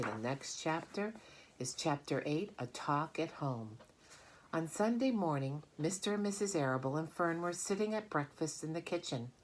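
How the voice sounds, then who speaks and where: A woman reads a children's story aloud. Her voice is notably low for a woman, in the style of storybook narration. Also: a little hiss or hum. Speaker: storybook reader.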